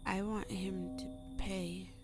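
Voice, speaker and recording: A woman playing a small, hurt fourteen-year-old orphan in an audio drama, speaking in a hushed, halting way. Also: medium pitch, little pitch movement, over music, lightly acted.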